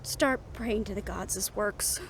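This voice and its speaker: A woman playing a supportive, excitable young woman in a fantasy audio roleplay, with an expressive, conversational delivery. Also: medium pitch, unhurried, natural voice. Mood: uneasy. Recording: faint ambient vehicle noise behind.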